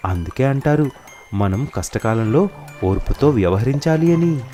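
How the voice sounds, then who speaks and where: A man narrates a scripted moral tale. His mid-pitched voice moves up and down in a very animated way. Soft music runs behind the narration. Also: normal speed.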